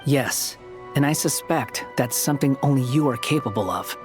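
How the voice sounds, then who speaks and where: A man's voice: medium pitch, lively intonation, normal speed, music in the background, lightly acted. Man playing a curt, aloof young fantasy game hero. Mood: dry, serious. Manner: curt, dry, challenging. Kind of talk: scripted game dialogue.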